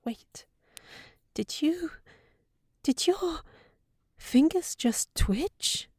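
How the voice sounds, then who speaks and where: Woman acting a slow-spoken, earnest young fairytale housekeeper. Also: high voice, lively intonation, darkish tone, dry recording, full character voice. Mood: relieved, frantic. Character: sensible young fairytale housekeeper, secretly smitten with a wizard. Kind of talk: intimate scripted audio roleplay.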